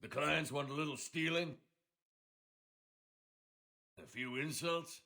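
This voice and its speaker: A man's voice: a little high, slow, gravelly, pushed and bright, exaggerated voice. An actor playing a sardonic male dwarf rogue who relishes mischief, in a video game cutscene. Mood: wry relish.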